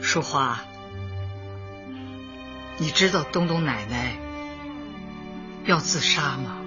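A woman's voice: sits low, animated, slow, muffled recording, music in the background. An actress playing a kindly elderly woman community party secretary in a Chinese radio drama, patient and coaxing. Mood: gently persuading.

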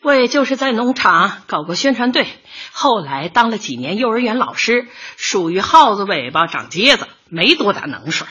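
An actress playing a cheerful, folksy, take-charge middle-aged dance leader in a light radio comedy. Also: female voice, medium pitch, normal speed, slightly rough, highs cut off, exaggerated voice. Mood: earnest, modest. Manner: animated, folksy, exclaiming. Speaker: dance troupe leader in an audio drama.

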